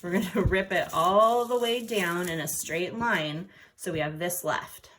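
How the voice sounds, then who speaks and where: A woman's voice: lowish voice, measured pace, fairly bright tone, a little hiss or hum, poor audio. A woman giving step-by-step craft instructions for children in a playful, informal way. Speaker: origami instructor.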